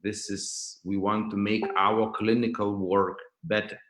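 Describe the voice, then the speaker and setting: A roomy, echoing video-call recording of a man with a Lithuanian accent. His voice sits fairly low as he presents an academic lecture online, haltingly, doubling back on words. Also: flat delivery, fairly bright tone. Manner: halting, repeats words and restarts phrases. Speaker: dental professor and clinician giving a lecture. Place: indoors, remote video call.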